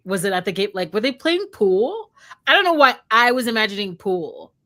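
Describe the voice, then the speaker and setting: A woman's voice: mid-range voice, very animated, normal speed, sharp tone. A woman in a casual book discussion, talking conversationally with lots of "like" and "you know".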